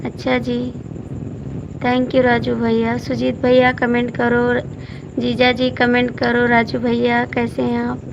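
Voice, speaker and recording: A hoarse woman hosts a casual live stream, reading out viewer comments and coaxing people by name to comment. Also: fairly high pitch, monotone, slow, muffled recording, noisy background. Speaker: folk singer hosting a live stream.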